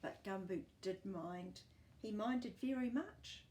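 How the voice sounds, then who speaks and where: A woman reading a picture book aloud to young children in an animated, encouraging way, with chatty asides to the listener. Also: mid-range voice, slow, roomy sound.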